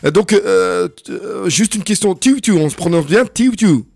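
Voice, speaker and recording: A man hosting a live radio interview, opening with upbeat greetings and then speaking haltingly with many fillers. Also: high voice, animated, gravelly, darkish tone, slightly rough, no echo. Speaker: radio host. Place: radio studio.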